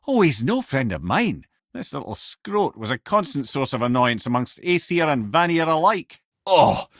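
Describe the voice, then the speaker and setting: A man's voice: medium pitch, a bit bright, no room sound, studio quality. A man voicing an older, wry and learned severed head with a Scottish accent, telling tales and bantering as a companion in a video game. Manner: wry, erudite, avuncular, theatrical storyteller. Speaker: companion and storyteller.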